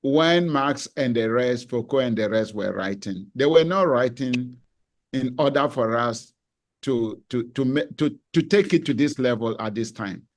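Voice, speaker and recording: A professor answers a question on a panel at a deliberate pace, thinking aloud and pausing with small hesitations. He is a man with a voice of middle pitch, speaking over a video call. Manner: deliberate, reflective, some hesitations. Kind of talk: measured academic answer.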